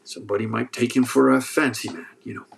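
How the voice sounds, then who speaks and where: A man's voice: medium pitch, fairly even intonation, quick pace, vocal fry, a little hiss or hum. A man with a sore throat hosting a casual livestream, reading chat and joking as he rambles. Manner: rambling, joking, restarts often.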